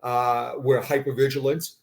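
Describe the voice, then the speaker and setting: Older boston guy being interviewed, earnest, trips over his words a lot, mid pitch. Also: little pitch movement, measured pace, creaky. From Boston area, USA. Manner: earnest, repeats and restarts words often. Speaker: guest, retired police sergeant. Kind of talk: unscripted interview conversation.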